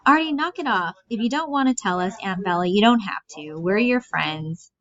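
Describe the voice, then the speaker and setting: A woman's voice: mid-range voice, animated, normal speed, slight background noise, natural voice. A woman voicing a worried, sensible teenage older brother in a stage play. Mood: worried, scolding. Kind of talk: reading a stage play aloud. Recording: dull, muffled lo-fi audio.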